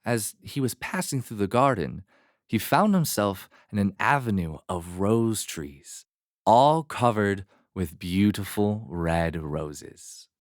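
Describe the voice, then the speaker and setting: A man narrating a scripted fairy tale as a storyteller, measured, expressive and dramatic. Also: lowish voice, dry recording, studio quality.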